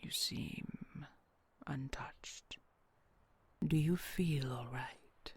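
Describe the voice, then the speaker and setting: A woman's voice: deep voice, a little mellow, heavily acted. A woman voicing a possessive female dragon queen who is fierce to rivals and tender to her treasure, often speaking in a whisper. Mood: soothing. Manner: slow, gravelly, whispered tenderness. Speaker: voice actor. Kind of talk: audio roleplay acting.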